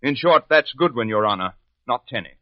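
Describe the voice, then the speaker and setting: An actor playing a confident handwriting expert who testifies in a precise, formal way in an old radio drama. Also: male voice, mid-range voice, expressive, brisk, close to an everyday voice. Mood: formal, assured. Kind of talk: 1950s radio drama acting. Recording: dull old radio recording.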